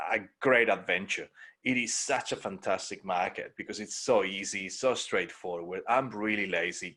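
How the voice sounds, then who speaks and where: A middle-aged man from Spain with a Spanish accent, talking in a lively, animated way on a podcast from his home, with many restarts. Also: on the low side. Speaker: podcast co-host, property investor. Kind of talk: casual video-call interview. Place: home room by a window. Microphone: studio mic on boom arm.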